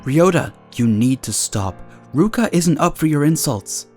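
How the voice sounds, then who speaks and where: A woman's voice: deep voice, animated, measured pace, darkish tone, soft background music. A woman playing an exasperated young person reproaching friends in an audio drama. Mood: reproachful.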